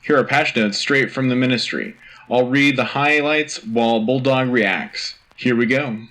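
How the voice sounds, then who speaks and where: An earnest military newscaster, played by a man, with a mid-pitched, bright and forceful voice. He delivers scripted war bulletins in a crisp, patriotic, official manner. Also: unhurried, poor audio. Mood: brisk, official. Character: earnest, patriotic male wartime radio news anchor. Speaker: radio host.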